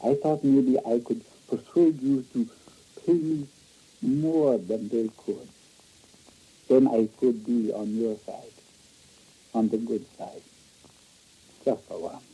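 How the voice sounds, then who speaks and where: A man's voice: mid-range voice, fairly even intonation, slow pace, long pauses, dark tone, slightly rough, low-quality recording. An actor playing a frightened, shifty male informant who pleads and stammers in a 1950s radio drama. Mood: pleading.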